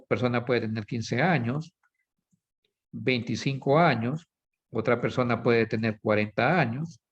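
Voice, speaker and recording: Man teaching, checking in often. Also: mid-range voice. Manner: asks "¿sí?" and "¿verdad?" constantly; self-correcting, explains with everyday examples. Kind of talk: recorded statistics lecture. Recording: slightly dull lo-fi recording.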